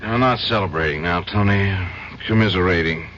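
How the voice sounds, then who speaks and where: An actor with an American accent playing a troubled, hard-drinking lawyer in an old-time radio drama, agitated and halting. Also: male voice, deep voice, fairly bright tone. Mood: drunken, morose. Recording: dull, faintly noisy old radio recording.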